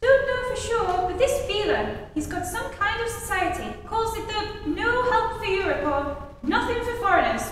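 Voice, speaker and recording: A very high-voiced actress as a folksy farm woman, blunt and tight about money. It is old-time radio acting, heard far off in a very echoey hall. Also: expressive, moderate pace, fairly bright tone. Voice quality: high-pitched. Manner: blunt, rustic. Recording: echoey hall, distant mic, faint noise.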